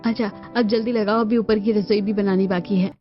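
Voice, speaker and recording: A woman playing a firm, cautious mother in an animated family drama, insistently explaining her household plans. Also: medium pitch, moderate pace, highs cut off, soft background music, close to an everyday voice. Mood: businesslike.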